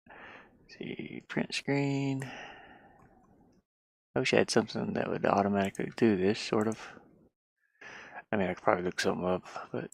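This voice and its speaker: A game developer chatting casually and haltingly while working at a computer, murmuring half to themselves. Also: low voice, vocal fry, no echo. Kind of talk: casual screen-share chat while working. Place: inside a van.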